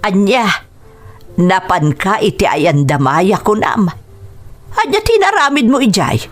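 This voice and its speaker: A woman's voice: lowish voice, slow pace. A woman acting in an Ilocano radio drama as a reproachful but caring mother of a grown son, with an Ilocano accent and music underneath. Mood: scolding, concerned.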